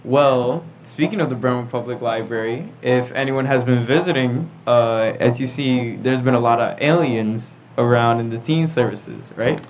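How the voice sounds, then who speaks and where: A man's voice: medium pitch, moderate pace, hardly any pauses. Young American man hosting, dull noisy audio. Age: teens or young adult. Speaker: host of a teen talk show. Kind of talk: casual group chat. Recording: dull lo-fi audio with clear background noise.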